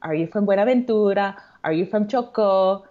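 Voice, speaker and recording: A woman's voice: medium pitch, moderate pace. A woman guest in casual interview chat, chatty and laughing often. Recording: dull lo-fi remote audio.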